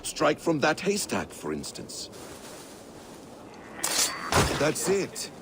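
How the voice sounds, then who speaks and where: An actor playing a calm, wise master assassin mentor in a video game, speaking in a formal, measured, instructive way. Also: male voice, a little high, a little hiss or hum, heavily acted. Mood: coaching. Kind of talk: video game cutscene acting.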